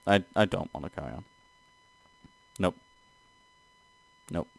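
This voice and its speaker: A man's voice: deep voice, slow, creaky, dry recording. A man doing a let's play, reading a text game aloud and reacting casually.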